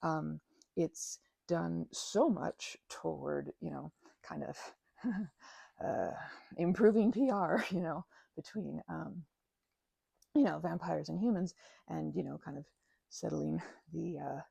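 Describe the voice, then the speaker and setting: A woman playing a timid, gentle vampire in an audio roleplay, stammering and hesitant in a soft, breathy voice. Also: low voice, slow, dry recording, full character voice. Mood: nervous, grateful. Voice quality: soft, breathy, sometimes dropping to a whisper. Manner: nervous stammering, hesitant, apologetic, breaking into nervous giggles. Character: timid, grateful, gentle female vampire, shy and friendly. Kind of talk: audio roleplay acting, intimate one-sided conversation.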